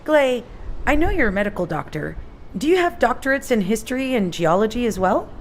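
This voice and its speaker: A woman's voice: on the high side, sing-song, fairly quick, a little hiss or hum. A woman voicing a determined, earnest female soldier with a bitter edge in a video game. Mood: thoughtful. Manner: earnest, resolute. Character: determined, embittered young woman fighter, toxin-resistant soldier.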